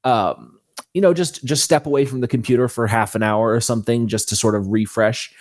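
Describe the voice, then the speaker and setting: A man's voice: medium pitch, fairly quick, darkish tone, no echo, clean studio sound. A man speaking as an interview guest, explaining things casually and thinking aloud as he goes.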